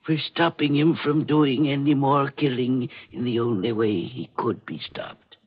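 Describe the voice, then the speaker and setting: A man playing a frightened, guilt-ridden former state executioner in 1940s radio mystery style, halting and dramatic. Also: mid-range voice, breathy edge. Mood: haunted, defensive. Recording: muffled old radio recording.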